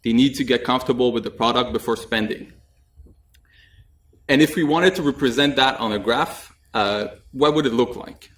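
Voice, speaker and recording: A young man presents at a conference in a relaxed, chatty way, sprinkling in light jokes and "uh" fillers. His voice sits at a middle pitch and is picked up by a desk mic from a little way off. The room has a noticeable echo. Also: moderate pace. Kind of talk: technical conference talk. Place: conference room with audience, indoors. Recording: echoey room, mic at a distance.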